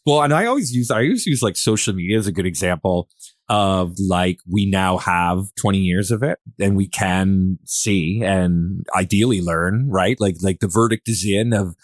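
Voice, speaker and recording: A man's voice: sits low, moderate pace, dry recording, studio quality. A man hosting a podcast in a casual, conversational way, agreeing often and feeling his way through his phrasing. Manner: agreeable, hesitant phrasing with frequent interjections. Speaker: podcast host.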